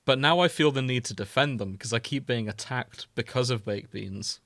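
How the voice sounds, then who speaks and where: A man's voice: mid-range voice, moderate pace, a bit bright, no room sound, studio quality. A man hosting a podcast, talking in a casual, joking, conversational way. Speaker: podcast host. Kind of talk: casual comedic chat.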